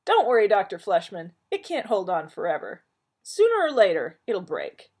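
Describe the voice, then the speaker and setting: A woman voicing a cheerful, easygoing small-town man who talks in a bright, folksy way. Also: mid-range voice, huge pitch swings, normal speed, husky, pitched above the performer's own voice.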